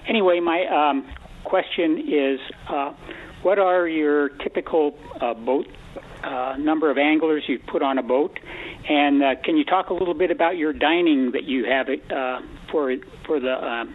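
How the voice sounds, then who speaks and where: A male caller on a radio show asking a question hesitantly, with many pauses and fillers. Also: fairly high pitch. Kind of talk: call-in question. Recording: tinny phone line.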